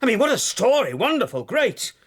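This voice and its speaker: A man's voice: very high voice, sing-song, brisk, slightly rough, heavily acted. A man acting in a radio drama as a desperate, self-serving lawyer, pleading and insistent with a sarcastic edge. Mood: angry, pleading.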